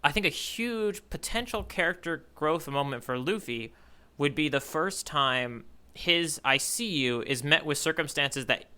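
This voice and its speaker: Man, podcast co-host, very bright tone, slightly high pitch, musing, hedging fillers. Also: lively intonation, a little slow, clean tone. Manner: musing, hedges a lot with "like" and "you know". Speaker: podcast co-host reading the manga for the first time. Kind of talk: casual podcast chat.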